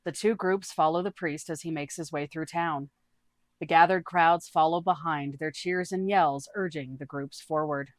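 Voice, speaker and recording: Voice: mid-range voice, steady tone, moderate pace, no echo. A person reading a scripted fantasy adventure story aloud as its narrator. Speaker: storyteller, tabletop campaign player.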